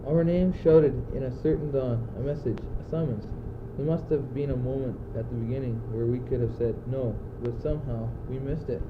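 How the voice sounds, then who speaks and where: A man's voice: mid-range voice, steady tone, moderate pace, few pauses, lightly acted. A teenage male student acting an anguished, philosophical courtier facing death in an amateur radio play, speaking in earnest declamation. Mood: wistful. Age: teens. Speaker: student actor. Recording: muffled, noisy old amateur recording.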